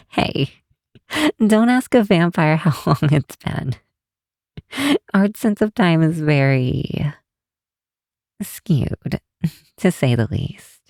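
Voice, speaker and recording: A woman's voice: sits low, lively intonation, very slow, gravelly, pauses often, darkish tone, no echo, clean studio sound, full character voice. A woman voicing an affectionate, bookish vampire researcher in an ASMR roleplay, talking tenderly and teasingly with small hesitations. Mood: playful, affectionate. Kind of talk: ASMR roleplay, one side of a late-night phone call.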